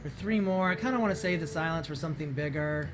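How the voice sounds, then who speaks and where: A hoarse man with a voice that is not deep, commenting on his card game as he plays and thinking aloud with many ums and restarts. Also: fast talker, music in the background. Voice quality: voice not very deep, sounds high-pitched. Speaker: streamer playing a card game. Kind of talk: casual gameplay commentary.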